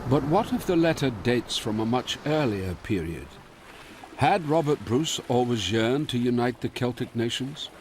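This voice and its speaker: A man's voice: medium pitch, expressive, normal speed, a little mellow, a little hiss or hum. A man narrating a documentary in a scripted, inquisitive way.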